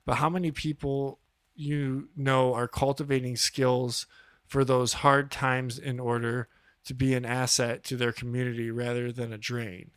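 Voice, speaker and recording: A man's voice: mid-range voice, level tone, unhurried, smooth, dry recording. Laid-back male podcast co-host. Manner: laid-back, agreeable, hesitant restarts. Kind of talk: casual chat.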